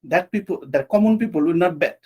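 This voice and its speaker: A man from Bangladesh hosting a podcast interview, speaking earnestly and haltingly with many restarts. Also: on the high side, animated, mellow, slightly rough. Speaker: podcast host. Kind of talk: conversational interview.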